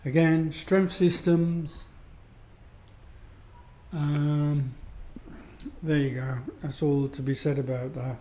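Guy, slightly high voice, slow and mellow, lots of ums, filmed on a handheld cam in a small room. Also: flat delivery. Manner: unhurried, full of fillers, admiring. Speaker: home gym owner giving a tour. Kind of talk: casual walkthrough commentary. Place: small home gym in a garden building, indoors. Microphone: action camera held while walking.

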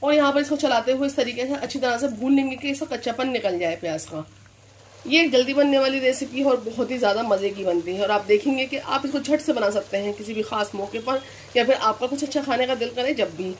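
A woman with a Delhi-area Hindustani accent talking calmly through a recipe step by step, as a cooking channel host. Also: a little high, quick pace, slight background noise. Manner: calm instructive, repeats "here" and "this way".

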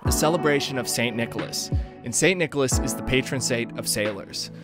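A man's voice: mid-range voice, a little fast. A young man, a sailing vlogger and skipper, narrating his voyage in a thoughtful, musing, matter-of-fact way. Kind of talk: reflective vlog narration. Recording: voice-over with loud music behind.

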